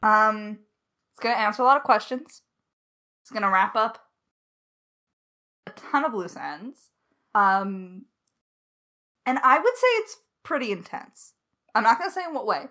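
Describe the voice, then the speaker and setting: A woman's voice: mid-range voice, animated, unhurried, long pauses, bright, forceful tone. A woman in a casual Q&A as a writer, talking candidly with self-deprecating humor. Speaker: lead writer of an audio drama. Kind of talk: casual Q&A conversation.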